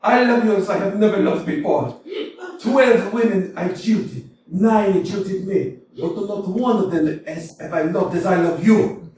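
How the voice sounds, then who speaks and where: An actor playing a hot-tempered middle-aged landowner in a staged comedy reading, swinging from mutters to loud outbursts. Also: male voice, high voice, lively intonation, unhurried, slight background noise. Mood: passionate. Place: community theater stage. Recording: echoey hall, mic from far off.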